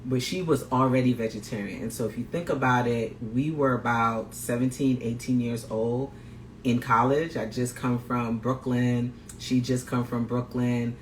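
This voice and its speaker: Upbeat male chef hosting a live cooking demo, mid-pitched voice, roomy recording. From Guyana. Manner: upbeat, greets viewers, repeats phrases. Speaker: plant-based chef and health coach. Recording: roomy echo, faint background noise.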